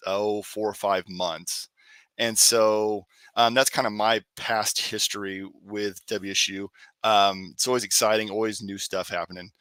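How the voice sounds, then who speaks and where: A man presenting on student billing at an online session, a little hoarse from a cold, explaining in a relaxed way with many fillers. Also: on the low side, no echo. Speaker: accounts receivable staff presenter. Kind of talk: webinar presentation.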